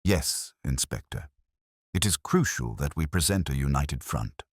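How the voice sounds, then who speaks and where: A man's voice: rumbling low, animated, measured pace, dry recording, studio quality, heavily acted. A man voicing a prim, self-important committee woman in a mystery story, with a pompous, formal and stiffly dignified manner.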